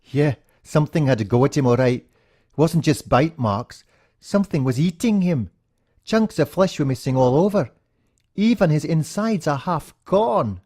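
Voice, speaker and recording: A man voicing a forlorn, grieving boy in a story, speaking in a mournful, halting way. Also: a little high, expressive, dark tone, husky, pitched above the performer's own voice. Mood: mournful, shaken. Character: forlorn boy grieving for his dead dog.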